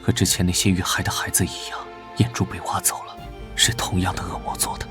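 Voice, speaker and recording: A man playing a gentle, burdened young knight vice-captain in an animated drama, speaking in a soft, subdued way. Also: low-pitched, quick pace, lightly acted. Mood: grieving, subdued. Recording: loud music under the voice.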